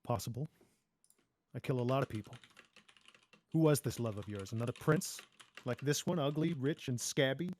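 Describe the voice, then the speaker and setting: A man's voice: medium pitch, moderate pace, long pauses, a little mellow, a little hiss or hum, natural voice. A man playing a cool, cynical masked pirate with mocking, polite menace in a fairy-tale table read. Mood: sardonic, cool. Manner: sardonic, drawling taunts with polite menace.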